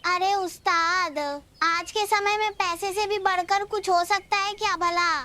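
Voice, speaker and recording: An actor voicing a naive, eager young sidekick in a cartoon, squeaky and chatty. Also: little pitch movement, unhurried, pushed and bright, exaggerated voice. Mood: admiring, chatty. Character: naive, eager young sidekick who flatters his master. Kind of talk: Hindi cartoon voice acting. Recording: music behind the voice.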